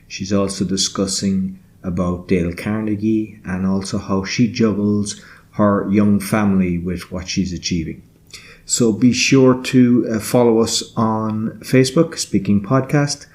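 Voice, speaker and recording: A male podcast host opens the episode in a low, steady voice. He runs briskly through the introduction, sounding like a scripted announcement.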